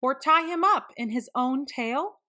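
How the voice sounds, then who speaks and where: A woman reads a picture book aloud for children. Her voice is bright and a little high, and she takes her time. She lands on key words with deliberate, dramatic emphasis. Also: expressive. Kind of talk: expressive storybook read-aloud narration.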